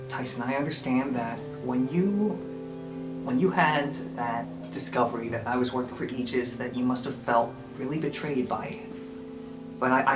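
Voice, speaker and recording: A man's voice: mid-range voice, close to an everyday voice. Man playing a hesitant, apologetic guidance counselor, music behind. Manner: halting, hesitant, sheepish. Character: apologetic, awkward male guidance counselor and caretaker. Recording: roomy, with guitar music behind.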